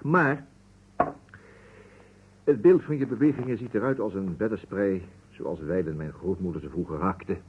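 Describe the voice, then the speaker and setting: An actor playing a wry, genial investigator who muses and probes in a vintage Dutch radio drama. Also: male voice, low-pitched, normal speed, pauses often, slightly rough. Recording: muffled, narrow-band old radio recording.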